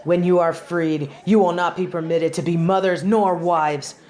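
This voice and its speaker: A woman's voice: on the low side, fairly even intonation, normal speed, darkish tone, lightly acted. A woman playing a haughty, sardonic young female judge in a dramatic audio roleplay, speaking in formal, imperious, theatrical phrases. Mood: stern, righteous. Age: young adult.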